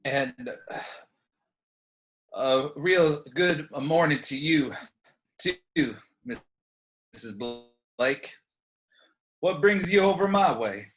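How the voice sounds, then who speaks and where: A man playing a folksy Old West town sheriff in a radio play, with hesitant, drawn-out phrasing. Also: a little high, animated, fairly bright tone, full character voice, rough recording. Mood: cordial. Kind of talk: online radio play acting.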